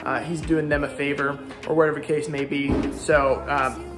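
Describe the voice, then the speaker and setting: American man explaining casually over loud music. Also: on the high side, flat delivery, moderate pace. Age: 30s. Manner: informal, explanatory. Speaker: home renovation contractor and vlog host. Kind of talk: casual walkthrough vlog talk. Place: handheld walkthrough in house, garage and covered patio. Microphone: phone or camera at arm's length.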